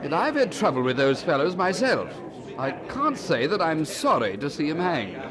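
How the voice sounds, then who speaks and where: An actor playing a hearty, blustering older brother in an 18th-century audio drama, with a husky voice and a condescending manner. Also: male voice, on the high side, wildly swooping pitch, moderate pace, crowd noise. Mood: jovial, eager. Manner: chuckling, hearty, condescending, stammers when evasive.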